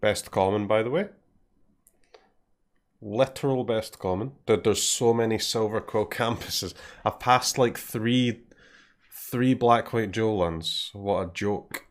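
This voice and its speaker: A Scottish man talking through his game as he plays, in a lowish voice. He thinks out loud, trails off into quiet mutters and keeps clearing his throat. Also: slow. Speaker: game streamer. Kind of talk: casual live commentary while playing.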